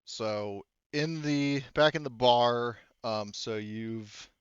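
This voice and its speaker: A man's voice: mid-range voice, takes it slowly, clean tone, no room sound. A man working as game master, narrating a tabletop game in a casual, hesitant way on slightly dull voice-chat audio.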